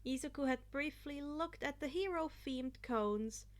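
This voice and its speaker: A woman's voice: sits high, a little slow. A woman narrating a piece of fan fiction as a scripted story reading.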